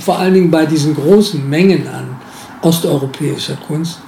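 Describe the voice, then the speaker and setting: Older guy, gravelly, slow, lots of ums, echoey room. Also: on the high side, dark tone, slight background noise. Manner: hesitant, many filler sounds. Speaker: former museum director. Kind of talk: interview recollection.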